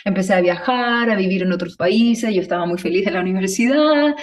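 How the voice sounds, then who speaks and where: A woman with a mid-pitched voice talks as an interview guest. She tells her story in a loose, meandering way, checking in with little tag questions, and sounds somewhat far from the microphone. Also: fairly quick. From Chile. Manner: meandering, ends phrases on checking tags. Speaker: podcast guest, movement instructor. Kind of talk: conversational personal storytelling.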